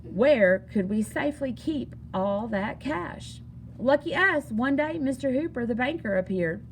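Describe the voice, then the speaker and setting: A woman reading a children's picture book aloud in an expressive, singsong storytelling manner. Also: sits high, a little slow, a little hiss or hum. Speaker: story reader.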